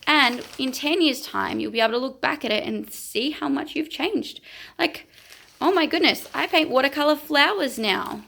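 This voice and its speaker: Bright, gravelly-voiced woman vlogger. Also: high voice, moderate pace. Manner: chatty, self-mocking, encouraging. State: losing her voice. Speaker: art vlogger. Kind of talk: casual vlog commentary.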